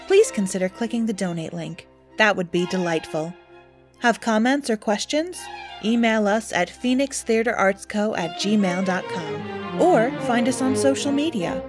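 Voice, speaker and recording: A woman announcer reading the closing credits in a warm, upbeat way. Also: mid-range voice.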